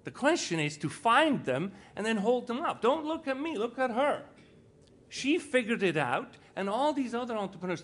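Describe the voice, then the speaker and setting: A man's voice: high voice, a bit bright. A middle-aged man from Switzerland giving an informal conference speech, earnest and emphatic, with rhetorical questions and repetition for stress. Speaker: panel speaker, entrepreneur and foundation co-founder. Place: indoor conference panel, live audience. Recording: live event room with clear background noise.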